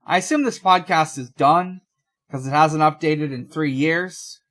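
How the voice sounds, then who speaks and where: A podcast co-host chatting casually in a halting, rambling way. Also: mid-range voice, fairly bright tone.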